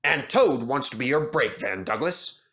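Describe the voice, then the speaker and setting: Storybook railway boss, male: genial authority, stately delivery, bright and animated acted by a male reader. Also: medium pitch, normal speed. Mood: pleased. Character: genial, authoritative gentleman railway director, gravely amused. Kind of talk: children's storybook character voice.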